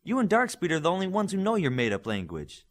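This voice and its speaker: Mocking young hero by a male narrator, high, exclamatory, very animated. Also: fast talker. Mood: exasperated. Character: sarcastic, teasing young male superhero student.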